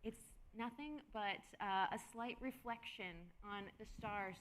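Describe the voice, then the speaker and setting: A woman's voice: a little high, a little slow, fairly bright tone, a little hiss or hum. A woman narrating as game master of a live tabletop game, playful and laughing a lot, on a convention stage.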